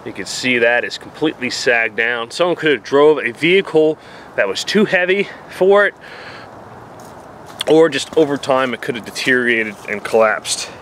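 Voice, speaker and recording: A man's voice: mid-range voice, lively intonation, moderate pace, fairly bright tone, a little hiss or hum. A man with a New Jersey accent casually describing what he finds while walking through the woods, talking into a handheld camera with plain, filler-dotted narration. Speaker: outdoor explorer vlogger. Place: woods outdoors.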